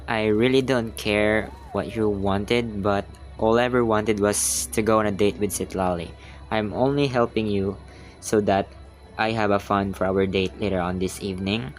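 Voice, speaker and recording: A man giving blunt, informal commentary as he plays through a game. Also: fairly low pitch. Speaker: gaming content creator. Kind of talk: casual game playthrough commentary. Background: game music.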